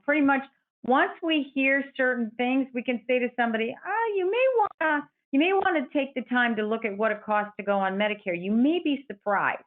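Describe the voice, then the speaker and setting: A woman, an insurance advisor, patiently explaining Medicare options in an interview and quoting her clients. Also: mid-range voice. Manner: patient, explanatory, quotes clients in their own words. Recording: tinny phone-like line.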